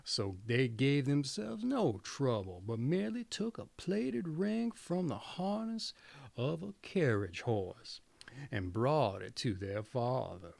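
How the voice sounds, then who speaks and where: A man's voice: mid-range voice, animated, slow, creaky, darkish tone, no room sound. A man reading a fairy tale aloud as a storyteller.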